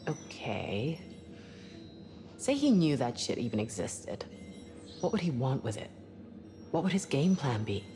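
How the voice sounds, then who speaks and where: An actress playing a guarded, sardonic ship's doctor in a cinematic science-fiction video game. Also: female voice, extremely low pitch, sing-song, a little slow, pauses often, warm tone, heavily acted. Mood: sceptical. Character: wry, guarded woman doctor on a spaceship crew. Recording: long reverberant hall, music behind.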